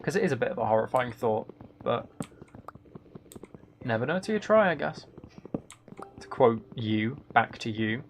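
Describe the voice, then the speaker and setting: A man streams a game and chats casually as he goes. His mid-range voice has a creaky, gravelly edge, and he muses aloud to himself and his viewers. Faint noise, typing and clicks sit behind him. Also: mid-range voice, expressive, moderate pace, pauses often. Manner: musing, thinking aloud. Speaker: live streamer playing a building game. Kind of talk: casual live stream chat while playing.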